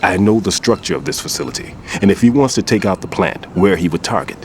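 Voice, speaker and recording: A man's voice: deep voice, expressive, quick pace, slight background noise. An actor playing an older male bomb-disposal expert and rueful mentor, patient and lecturing. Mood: instructive. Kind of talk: game cutscene acting. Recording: music under the voice.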